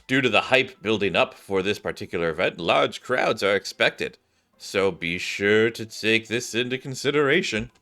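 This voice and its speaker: A man doing casual game-stream commentary in an animated way, thinking aloud and sometimes reading on-screen text. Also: fairly low pitch, normal speed. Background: game music.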